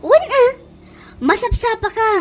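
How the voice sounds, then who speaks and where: A woman's voice: extremely high pitch, sing-song, unhurried, faint music behind, heavily acted. A woman playing a fussy, girlish young mother in an Ilocano-accented comedy radio drama, exclamatory and gossipy. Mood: pleading, excited. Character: girlish young working mother fussing over her hair.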